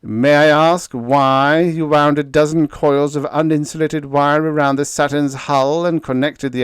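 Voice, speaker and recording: Give me a venomous, pompous official snarling orders, voiced by a storybook narrator. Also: male voice, fairly high pitch, no echo, studio quality, pitched above the performer's own voice. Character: spiteful, pompous male efficiency expert.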